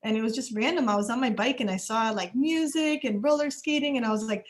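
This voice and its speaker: A woman's voice: on the high side, fast. A woman from New York chatting casually on a video call. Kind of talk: casual chat.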